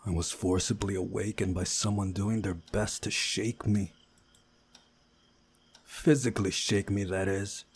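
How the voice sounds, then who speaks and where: A man reading a visual novel aloud as narrator, in a measured storytelling way with a husky voice. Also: on the low side, steady tone, slight background noise. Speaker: gaming video narrator.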